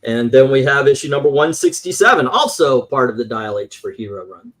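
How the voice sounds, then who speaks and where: A man's voice: medium pitch, animated, fairly quick, fairly bright tone. A man hosting a casual show-and-tell as a collector, rambling along with occasional profanity. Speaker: comic book collector and show host. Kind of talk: casual show-and-tell commentary on vintage comics.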